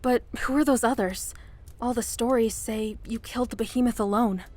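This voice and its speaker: A woman's voice: on the high side, normal speed, darkish tone, lightly acted. A woman playing an inquisitive young female knight trainee in an audio drama. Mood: curious.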